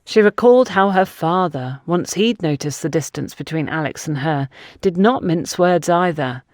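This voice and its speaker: A woman's voice: fairly low pitch, lively intonation, normal speed, a little mellow, dry recording. Woman narrating fiction, very dry close sound. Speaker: audiobook narrator.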